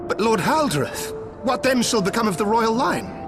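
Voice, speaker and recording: A man's voice: very high-pitched, sing-song, moderate pace, music in the background, full character voice. A man playing an earnest, loyal knight in a fantasy video game, speaking to his lord in formal, impassioned archaic speech. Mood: troubled.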